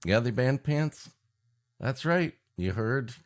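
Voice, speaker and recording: A man's voice: mid-range voice, animated, slow. A man co-hosting a comedy podcast in bantering chat, riffing and often laughing at his own jokes. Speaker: podcast co-host.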